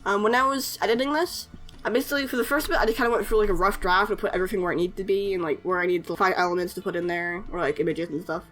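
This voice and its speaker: A woman's voice: medium pitch, steady tone, rapid-fire, a bit bright, faint music behind. A young woman chatting casually in a voice-over while she edits, hesitant, with lots of fillers and self-conscious little laughs. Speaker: artist and animator YouTuber. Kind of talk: casual voice-over over editing footage.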